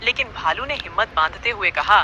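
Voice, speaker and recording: A man's voice: high-pitched, expressive, brisk, bright, forceful tone, dull audio, slight background noise. A man narrating a scripted animal fable as a storyteller.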